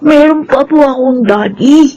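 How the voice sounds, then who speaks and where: A woman's voice: high-pitched, a little slow, mellow, dull audio. A woman with a Filipino accent playing a wistful little schoolchild in a radio drama.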